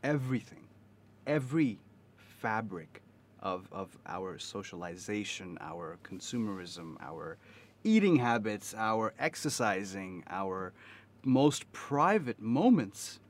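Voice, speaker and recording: A young man from Pakistan with a softened Pakistani English accent and an American lilt, talking casually and animatedly with many fillers. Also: fairly low pitch, very animated, slow pace, a little hiss or hum. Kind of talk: casual podcast chat.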